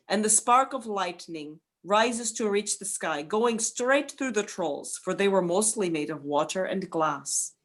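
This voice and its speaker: A woman narrating a scripted folktale as a dramatic storyteller for a radio play. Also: mid-range voice.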